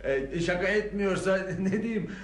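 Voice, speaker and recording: High-pitched, cheeky milkman, echoey. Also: unhurried. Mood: amused teasing. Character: hurried, cheeky, joking milkman. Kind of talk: radio theatre acting. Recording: echoey radio play room.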